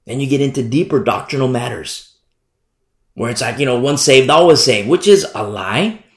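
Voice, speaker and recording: A man preaching a sermon in an animated, repetitive style, acting out the people he criticizes. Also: medium pitch, normal speed. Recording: echoey room sound.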